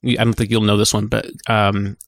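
A man co-hosting a podcast, chatting informally and telling things in a halting, conversational way. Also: fairly low pitch, level tone, dry recording, studio quality. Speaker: podcast co-host. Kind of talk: casual chat.

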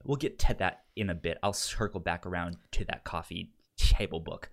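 A man's voice: deep voice, normal speed, gravelly, a bit bright. A man co-hosting a podcast in casual recap chat, often stammering and repeating the starts of words. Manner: acts out quotes in playful raised voices. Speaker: podcast co-host.

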